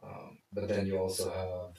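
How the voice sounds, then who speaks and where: A man's voice: deep voice, monotone, moderate pace, mellow. A man from Lebanon, a consultant, chatting casually and rambling with lots of fillers and restarts. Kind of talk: casual conversation. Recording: echoey room, mic far off.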